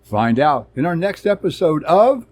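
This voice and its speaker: Slow, husky male serial narrator. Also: a little high, big pitch swings, darkish tone. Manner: suspenseful, theatrical. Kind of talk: dramatic serial story narration.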